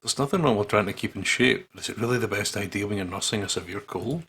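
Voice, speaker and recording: Slightly husky, low-voiced joking man. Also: fast, fairly bright tone. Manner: jokey, sweary, breaks into silly riffs. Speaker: gaming channel co-host. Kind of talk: playful let's-play, reading aloud and joking. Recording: music playing behind the voice. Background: game music.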